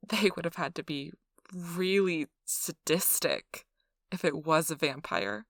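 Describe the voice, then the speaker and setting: Close and dry, a woman acts out a chatty receptionist-interviewer at a vampire donation clinic. Her voice is lowish with a crackly fry, and she talks in an officious, reassuring ASMR role-play manner. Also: slow pace, fairly bright tone, no echo, exaggerated voice. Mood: gossipy, defensive. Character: chipper, gossipy female interviewer at vampire blood donation center.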